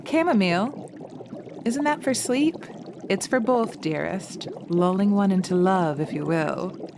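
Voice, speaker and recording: A woman voicing a sharp, practical witch in a roleplay audio drama, teasing and theatrical with a hint of menace. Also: medium pitch, animated, background noise. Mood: playful, theatrical. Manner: theatrical, teasing, drawn-out words with pet names.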